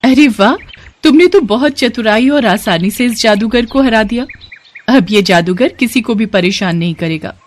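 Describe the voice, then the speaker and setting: A woman voicing a kind, grateful fairy, speaking in a gentle, reassuring and instructive way for a children's story. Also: a little high, lively intonation, moderate pace, lightly acted. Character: kind, grateful young female fairy granting wishes.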